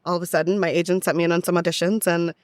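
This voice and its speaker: A woman's voice: on the low side, level tone, very fast, no room sound, clean studio sound. A young American woman telling stories casually and chattily as a podcast guest, as a touring theatre actress would. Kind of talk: casual conversational storytelling.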